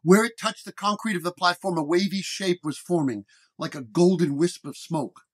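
High-pitched man narrating a detective horror story. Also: moderate pace. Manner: storytelling, acting out dialogue. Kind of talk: dramatic first-person detective horror audio story narration.

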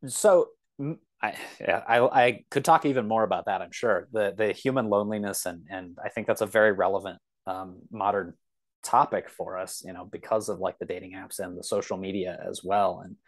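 A man in a casual discussion as a podcast guest, speaking in a halting, enthusiastic way as he thinks aloud. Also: on the low side.

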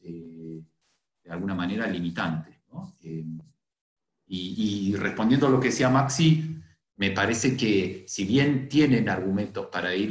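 A middle-aged man, speaking on an online academic panel, measured and hesitant. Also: low-pitched. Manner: measured, thinks aloud with many hesitations. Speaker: seminar panelist. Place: indoor room. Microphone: laptop camera mic on video call. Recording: roomy echo.

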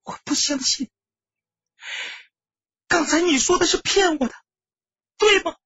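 A man's voice: extremely high pitch, a little slow, fairly bright tone, no echo, highs cut off, voice pushed up. A man voicing a heartbroken, restrained young woman in an audiobook story. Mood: anguished, pleading.

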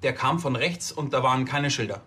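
An actor playing an earnest, naive junior policeman in a TV drama, halting but eager. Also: medium pitch, pushed and bright, slightly rough. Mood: earnest, inquisitive.

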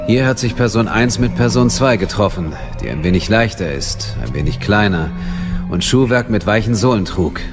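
Low-voiced actor as methodical military investigator. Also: male voice, lively intonation, moderate pace, natural voice. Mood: businesslike. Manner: methodical, matter-of-fact. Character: businesslike male military investigator reporting findings. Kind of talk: audio drama dialogue. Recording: loud music behind the voice.

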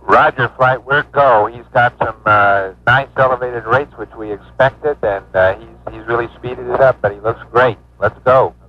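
A man of medium pitch gives status reports as a flight controller. His talk is terse and technical. It comes through a muffled 1960s radio loop. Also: moderate pace, few pauses.

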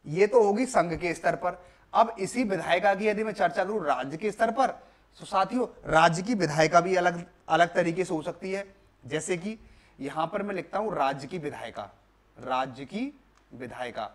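High-pitched young male teacher. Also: normal speed. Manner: repetitive, emphatic, asks and answers own questions. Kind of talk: classroom lecture on a video lesson. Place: indoor classroom at a board.

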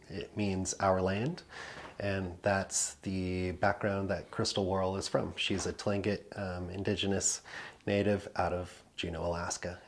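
A man in his thirties giving a casual, unscripted product presentation as a brand representative, chatty and conversational with frequent filler phrases. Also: low voice. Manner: conversational, peppered with "you know". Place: indoor showroom with wooden pallet wall.

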